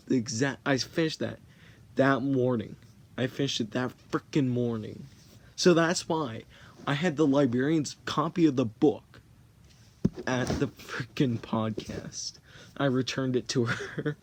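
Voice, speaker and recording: A teenage boy rambling through a story in a casual, jokey way, often stopping to correct himself. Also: medium pitch. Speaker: vlogger.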